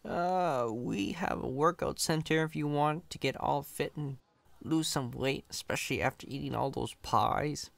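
A man's voice: on the high side, unhurried, creaky, dry recording. A man playing a chatty, cocky, friendly company employee in an ASMR roleplay, casual, reassuring and playfully boastful. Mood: easygoing, friendly.

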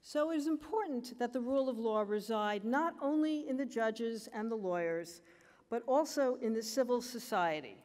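A woman's voice: a little high, expressive, darkish tone. American woman lecturing in an echoing hall. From United States. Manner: measured, emphatic lecturing delivery. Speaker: commencement speaker. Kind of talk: formal graduation speech. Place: large auditorium stage at a lectern. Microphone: lectern microphone.

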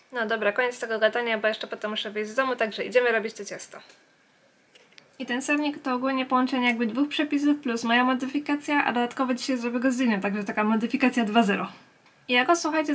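A woman's voice: a little high, fairly bright tone. A woman vlogger chatting casually and informally, speaking directly to her viewers. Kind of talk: casual vlog chat.

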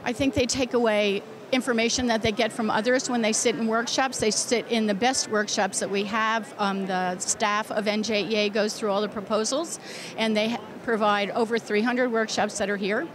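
A woman's voice: fairly high pitch, fairly even intonation, moderate pace, a crowd in the background. A woman in her sixties, an educator answering interview questions earnestly and emphatically into a handheld microphone. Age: 60s. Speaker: teacher and convention committee chair, interview guest. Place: crowded indoor convention exhibition hall. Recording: loud busy convention hall background.

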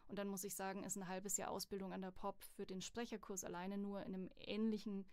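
A woman's voice: medium pitch, fast, clear, resonant tone, no echo. Chatty woman in a dry studio. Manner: chatty, candid, lively storytelling. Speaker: voice acting student, guest. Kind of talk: casual podcast interview. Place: studio at a music academy.

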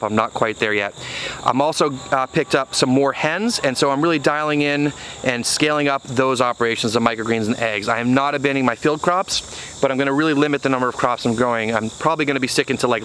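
A man's voice: mid-range voice, sharp tone, a little hiss or hum, low-quality recording. A man in his thirties, a farmer and vlogger, talking casually and earnestly to the camera outdoors on his farm. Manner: earnest, conversational, many "you know" fillers. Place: outdoors on a small farm field. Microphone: camera mic at arm's length, then on a tripod.

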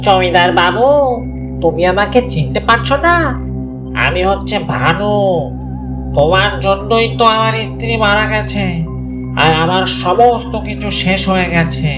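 A woman's voice: mid-range voice, music in the background, close to an everyday voice. A woman voicing the menacing, taunting ghost of a wronged male farmer in a cartoon horror tale. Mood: vengeful. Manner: drawn-out taunting, threatening. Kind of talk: cartoon ghost story acting.

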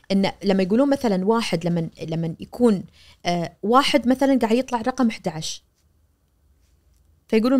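A woman in her forties with a Kuwaiti accent, a media professor explaining things animatedly as a guest on a studio podcast, recorded on a boom mic. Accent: Kuwaiti Arabic. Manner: animated, gesturing, acts out quoted voices. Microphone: boom-arm studio mic.

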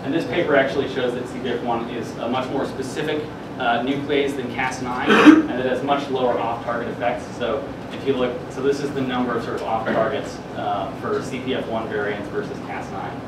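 Young male presenter, casual and halting science talk, picked up from afar in a boomy, echoing classroom. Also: steady tone. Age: young adult. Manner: hesitant, halting delivery with frequent filler words. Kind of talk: informal research news presentation. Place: classroom or seminar room, indoors. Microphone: distant camera microphone. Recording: echoey room, distant mic, air-conditioning rumble.

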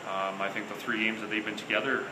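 Low-voiced coach answering reporters. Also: male voice, flat delivery. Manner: measured, hesitant, many fillers and restarts. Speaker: hockey head coach. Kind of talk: postgame press conference. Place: small interview room before a sponsor backdrop. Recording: echoey room with loud air-conditioning hum.

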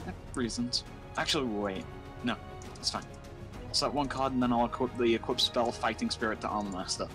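Young male, mid-pitched, casual gamer talk, explaining plays, light background music. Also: fairly quick. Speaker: player in a card-game roleplay. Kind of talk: casual gameplay roleplay chat.

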